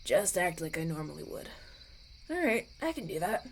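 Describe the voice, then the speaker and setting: A man's voice: on the high side, very animated, moderate pace, gravelly, fairly bright tone, a little hiss or hum, exaggerated voice. A man playing a polite, anxious young runaway prince in an audio roleplay, stammering and hesitant as he talks. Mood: nervous, eager. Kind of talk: ASMR audio roleplay, acted one-sided dialogue.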